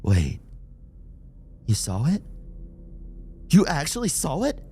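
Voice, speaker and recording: Audiobook role: male reader as excitable, teasing young female cop, raised pitch, slangy and animated. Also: takes it slowly, a little hiss or hum, full character voice. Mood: excited.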